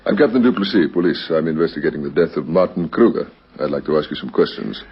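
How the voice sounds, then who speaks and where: An actor playing a brisk, persistent police captain in a radio crime drama, questioning formally. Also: male voice, a bass voice, breathy edge, exaggerated voice. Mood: formal, probing. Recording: dull vintage radio recording.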